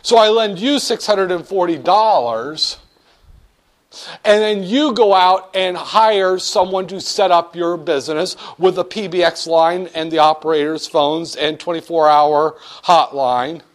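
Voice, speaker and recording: A man's voice: high voice, measured pace. A man giving an economics lecture in a playful way, acting out little dialogues between a banker and customers to explain his points. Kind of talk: classroom lecture.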